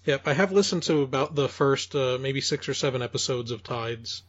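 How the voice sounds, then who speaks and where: A man co-hosting a podcast in casual conversation, haltingly repeating words as he searches for his phrasing. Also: mid-range voice. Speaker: podcast co-host. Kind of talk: casual chat reviewing audio dramas. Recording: dull, lo-fi voice recording.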